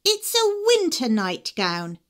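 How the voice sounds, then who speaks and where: A bossy little girl with a high, sing-song taunt, drawing out her words slowly, as a woman puts her on. She speaks from a storybook reading. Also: huge pitch swings, heavily acted. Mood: teasing. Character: young girl, bossy teasing sister.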